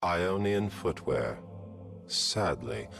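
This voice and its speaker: An actor playing a vain, maniacal killer artist in a video game, with a pompous, theatrical delivery and a husky, breathy voice. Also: male voice, sits low, very animated, a bit bright, soft background music, exaggerated voice. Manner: pompous, grandiose, deliberate delivery.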